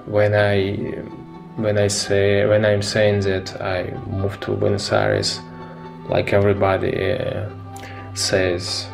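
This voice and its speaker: Man, Russian accent, vocal fry, conversational interview, hesitant, repetitive emphasis, clear music behind. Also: on the low side, monotone, takes it slowly.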